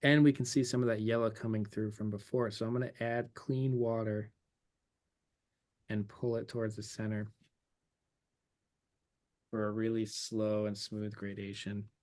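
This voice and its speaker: A man's voice: fairly low pitch, flat delivery, normal speed, pauses often, a little mellow. A man teaching a watercolor demonstration, thinking aloud as he paints, his voice a little worn from losing it. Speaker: painting instructor.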